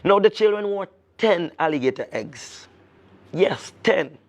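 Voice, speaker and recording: Expressive male storyteller reading aloud. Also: sits high, unhurried.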